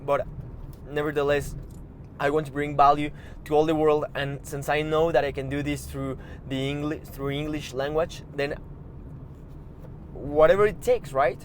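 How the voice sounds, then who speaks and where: Mexican guy, spanish accent, hosting a pep-talk podcast, kinda high voice, stumbly and earnest, traffic noise behind. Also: steady tone, normal speed. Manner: earnest, repeats and restarts words, urging. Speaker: podcast host. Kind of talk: motivational solo podcast. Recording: background street noise with passing cars.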